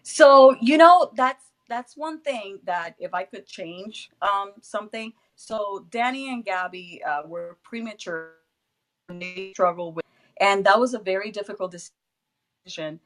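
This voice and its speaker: A woman's voice: mid-range voice, unhurried, faint music behind, rough recording. A woman whose first language is Spanish, with a Spanish accent, talking casually as an interview guest, laughing often and restarting phrases as she searches for English words.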